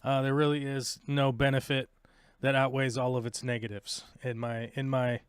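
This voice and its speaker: A man's voice: medium pitch, little pitch movement, moderate pace, smooth, no room sound, clean studio sound. An American man hosting a live stream, chatting casually with lots of fillers and jokes. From United States. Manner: rambling, joking, many fillers. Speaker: live show host.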